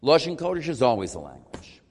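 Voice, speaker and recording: A man's voice: medium pitch, a little fast. Man teaching a Talmud class in a hall. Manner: animated, questioning, mixing Hebrew terms.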